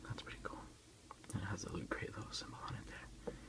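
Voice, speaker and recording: A man softly whispering in a breathy voice as he casually hosts an ASMR unboxing, unhurried and a little hesitant, recorded on a phone. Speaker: ASMR video host.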